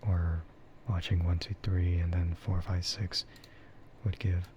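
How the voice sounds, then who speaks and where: Low, soft half-whispering man, ASMR style. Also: level tone, unhurried, slight background noise. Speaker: VTuber streamer.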